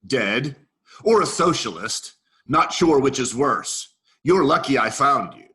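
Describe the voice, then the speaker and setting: A man's voice: mid-range voice, expressive, slow, sharp tone. A man in a staged play reading, playing a macho G.I. Joe soldier doll, cocky and protective, with tough-guy endearments. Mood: cocky, terse.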